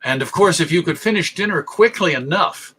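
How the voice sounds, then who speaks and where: A middle-aged American man presents a history talk in a bright, forceful voice pitched a little high. He is articulate and measured, leaning on key words like a storyteller. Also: lively intonation, slightly rough. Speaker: presenter, radio show host. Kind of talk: illustrated history lecture.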